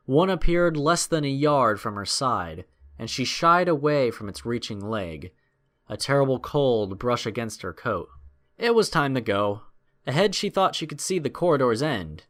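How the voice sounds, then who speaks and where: A man's voice: medium pitch, fairly bright tone. Male narrator reading a dramatic story.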